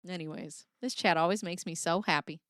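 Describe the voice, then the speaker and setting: A woman's voice: on the low side, a little fast, fairly bright tone, no room sound, studio quality. A young woman with a Midwestern accent hosting a casual livestream, reading her chat aloud, laughing often and swearing freely. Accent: Midwestern American. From American Midwest.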